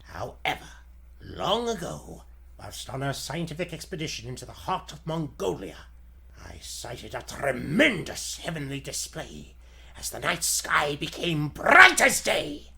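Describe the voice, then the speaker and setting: A man playing a feeble, elderly, excitable scientist with a grandiose, formal delivery in a 1940s radio serial style. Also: a little high, unhurried, creaky, heavily acted. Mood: grave, secretive. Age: older.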